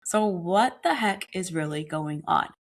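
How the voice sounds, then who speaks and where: A young adult woman hosting her wellness podcast alone, talking in an earnest, conversational way, her voice a bit raspy from a recent cold. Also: fairly low pitch, animated, measured pace. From United States. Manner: earnest, conversational, uses casual "y'all" and "like". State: slightly hoarse from a cold. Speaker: podcast host. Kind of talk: solo wellness podcast monologue.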